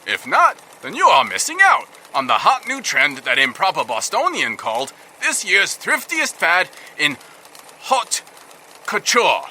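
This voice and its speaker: A cheesy local radio ad announcer, pitching hard in a salesy, punchy manner. His voice sits high and sounds very bright and forceful. A faint hiss runs under the read. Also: wildly swooping pitch, measured pace, exaggerated voice.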